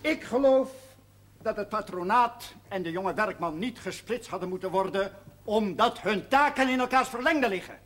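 An actor in a television period drama playing an earnest, persistent schoolmaster, formal and deliberate. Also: male voice, high-pitched, lively intonation, a bit bright. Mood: exasperated, insistent. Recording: noisy old television soundtrack.

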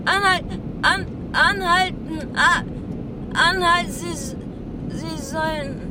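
A frantic, stammering young woman chokes and gasps as she struggles inside a moving car. Her voice is high, slow and dragging. It sits back from the mic under a loud engine rumble, as in a radio play. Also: steady tone, far from the mic. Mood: panicked. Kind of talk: German radio drama acting.

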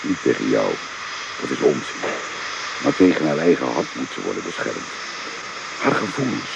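A man's voice: rumbling low, big pitch swings, moderate pace, creaky, noisy background, full character voice. An actor playing an earnest, protective middle-aged father in a radio drama, speaking in a solemn, paternal way. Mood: earnest, urging.

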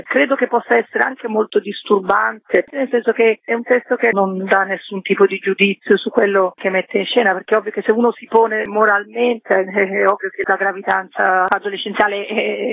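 A woman's voice: medium pitch, gravelly, no room sound. A woman guest explaining her work in a reflective, wordy way, thinking aloud during an interview. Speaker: theatre director, interview guest. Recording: tinny, narrow phone line on radio.

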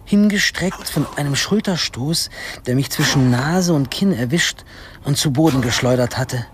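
A man's voice: medium pitch, normal speed, slight background noise, lightly acted. An actor playing a teenage boy who narrates his misadventures in a radio drama, in a wry and dramatic storytelling manner. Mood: wry suspense.

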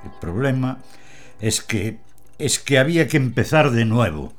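An actor playing a patient older working-class husband who drives for his masters, speaking softly in a radio drama. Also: medium pitch, big pitch swings, a little slow, soft background music. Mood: gentle. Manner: gentle, soft-spoken.

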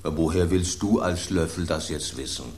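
An actor playing a grumpy, blunt male loaf of house bread in a radio drama, with a gruff, scornful manner. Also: low-pitched, moderate pace, darkish tone, slight background noise, lightly acted. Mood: skeptical. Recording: roomy studio echo.

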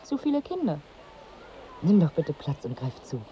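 Wry exasperated wife, mellow tone, deadpan bossy delivery, radio drama, background noise. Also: female voice, low-pitched, normal speed. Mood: dry, matter-of-fact. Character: wry, exasperated married woman on holiday seeking pregnancy.

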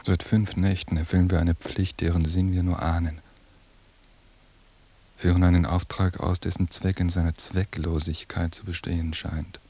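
An actor playing a tired, lonely night-surveillance agent in a radio drama, giving a detached, deadpan inner monologue in a husky, gravelly voice. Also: male voice, low-pitched, moderate pace. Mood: weary, desolate. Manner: flat, deadpan, clipped phrases.

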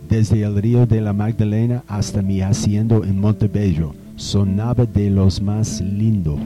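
Man playing a slow, deep-voiced old-time gentleman. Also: muffled recording, close to an everyday voice. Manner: slow, wistful, formal and old-fashioned speech. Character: old-fashioned 19th-century gentleman, bewildered and nostalgic. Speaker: actor in a youth radio drama. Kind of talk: amateur radio drama acting.

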